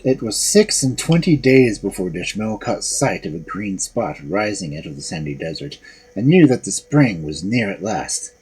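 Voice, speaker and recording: A man's voice: on the low side, big pitch swings, normal speed, a little mellow, breathy edge, poor audio. A man narrating fairy tales expressively as a storyteller and host.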